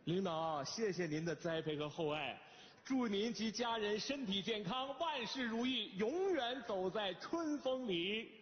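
An actor playing a stubborn, earnest young son who pleads emphatically in a comic sketch, with a northern Chinese Mandarin accent. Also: male voice, sits high, lively intonation, unhurried. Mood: defensive. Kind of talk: theatrical comic sketch acting. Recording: echoey hall, dull sound, clear noise.

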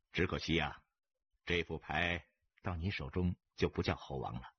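A man voicing a calm, defiant stranger who speaks coolly and mockingly in an audiobook story. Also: rumbling low, slightly rough, lo-fi sound, pitched below the performer's own voice, heavily acted. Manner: flat, cool, quietly mocking. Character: cold, unkempt male drifter, calmly defiant stranger.

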